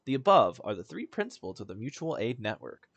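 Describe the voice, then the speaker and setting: Casual male game streamer, mid-pitched. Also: sing-song, a little fast, creaky, a bit bright, slightly rough, no room sound. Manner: slangy, joking asides. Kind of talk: casual playthrough commentary, reading game text aloud. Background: faint game music.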